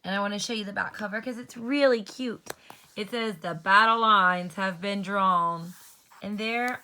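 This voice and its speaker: A woman's voice: mid-range voice, a bit bright, rough recording. A woman teacher reading a children's storybook aloud, expressively, and explaining things in simple terms.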